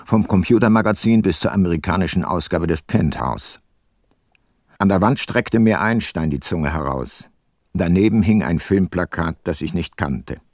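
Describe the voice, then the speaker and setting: An actor playing a male private investigator narrating his case in a radio play, measured, laconic and deadpan. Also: sits low, no echo, studio quality. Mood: observant, dry.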